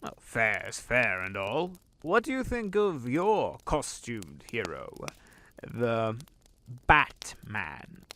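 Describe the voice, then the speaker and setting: An urbane, aged devil played by a male actor speaks in a leisurely, theatrical way, with a very lively rise and fall. He is courteous and sly, savoring every phrase as in a radio play. There is clear noise behind the voice. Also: on the low side, heavily acted. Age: older. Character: urbane, sly old devil hosting elite parties. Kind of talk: audio drama dialogue.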